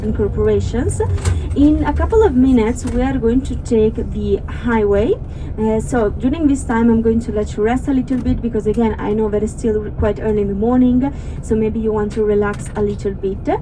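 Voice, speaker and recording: A woman's voice: a little high, normal speed. A woman from Ukraine with an Italian accent, working as a tour guide and explaining sights to passengers over the bus microphone with frequent fillers. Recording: noisy bus interior. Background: engine and road noise.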